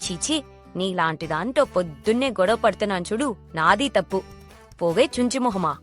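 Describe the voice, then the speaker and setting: A woman's voice: mid-range voice, lively intonation, a little fast, soft background music, natural voice. A woman voicing an elderly, plain-spoken village woman in a cartoon moral story. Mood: scolding.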